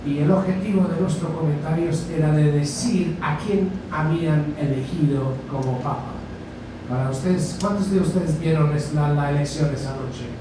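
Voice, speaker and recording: British male guest lecturer, Spanish with Argentine-tinged accent, halting delivery, distant mic in echoey hall. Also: on the high side. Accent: British speaking Spanish with Argentine touches. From Britain. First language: English. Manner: halting, with frequent fillers and restarts. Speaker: guest speaker, journalist and author. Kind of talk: lecture to a university audience. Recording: echoey lecture hall from far off, background noise.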